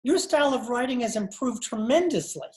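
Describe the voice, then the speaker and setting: A woman's voice: fairly high pitch, lively intonation, fairly quick, close to an everyday voice. A woman playing a flustered male newspaper editor in 1940s radio comedy style, hesitant, placating and dryly teasing. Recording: echoey room on video call.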